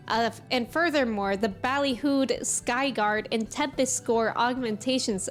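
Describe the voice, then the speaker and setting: A woman voicing an archaic, wordy scholarly gentleman in a grandiloquent mock-Shakespearean recitation for a game. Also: sits high. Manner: grandiloquent, flowery archaic diction.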